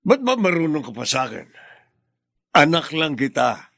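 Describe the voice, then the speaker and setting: Radio drama: stubborn stern father, gravelly, authoritative, recorded dry. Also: fairly high pitch, sing-song, normal speed, fairly bright tone, no echo, heavily acted. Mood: guarded, irritated. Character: stern, stubborn middle-aged father and family patriarch. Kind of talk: Filipino radio drama acting.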